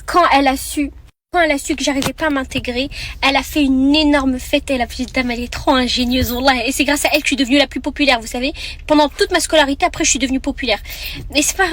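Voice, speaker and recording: Young french woman telling a story to her followers, talks super fast and lively. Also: sits high, a little hiss or hum. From France. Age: 20s. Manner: animated, fast, chatty, mixes Arabic phrases. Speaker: content creator.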